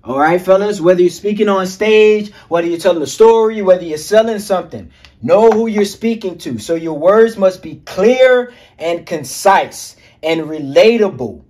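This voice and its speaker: Energetic high-voiced man coaching in slang. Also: normal speed. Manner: energetic, profane, slangy, addresses viewers as G and fellas. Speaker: self-improvement coach. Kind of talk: casual coaching monologue to camera.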